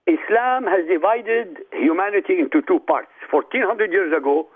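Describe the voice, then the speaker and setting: An Iraqi-born man whose first language is Arabic, speaking as a guest on a radio talk show in a forceful, declamatory way that addresses the listeners directly. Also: high voice, animated, moderate pace, breathy edge. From Iraq. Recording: tinny phone line.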